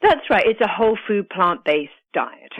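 A woman with a British accent and Italian traces, a nutritionist who is a guest on a radio show, speaking earnestly and with many pauses and restarts. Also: medium pitch, very animated, slightly rough, dry recording, lo-fi sound. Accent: British English with Italian traces. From Italy, grew up in the UK. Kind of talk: radio interview.

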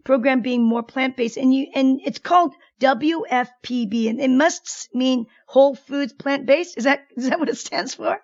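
A woman with a high voice hosts a radio wellness show as a doctor. She speaks in a measured, informative way. The sound is dry and a little muffled. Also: dry recording. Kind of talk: radio interview.

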